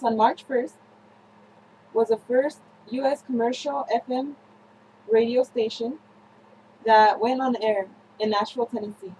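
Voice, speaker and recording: A young woman presenting a scripted student newscast, reading haltingly with slips and self-corrections. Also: on the high side, mellow, a little hiss or hum, poor audio. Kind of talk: scripted news-style narration for a history project.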